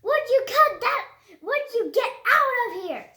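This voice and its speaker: A woman's voice: very high-pitched, lively intonation, a little slow, sharp tone, pitched above the performer's own voice, heavily acted. A young female reader voicing an exasperated former villain cat who is a harried, snappish father in a comic book. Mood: fed up. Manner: snappish, flustered. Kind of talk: comic book read-aloud. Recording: roomy echo, faint music behind.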